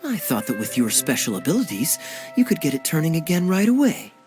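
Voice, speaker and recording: A woman's voice: low voice, sing-song, brisk, music in the background. A woman playing a teasing, perceptive knight in a video game cutscene, with a sly, insinuating manner. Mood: teasing, musing.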